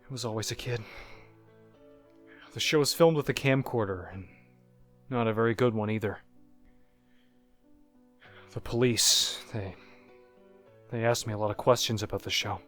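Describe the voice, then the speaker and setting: A man voicing a haunted narrator who looks back on disturbing childhood memories in a first-person horror story, halting and reflective, like a confession. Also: medium pitch, fairly bright tone, natural voice. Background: music.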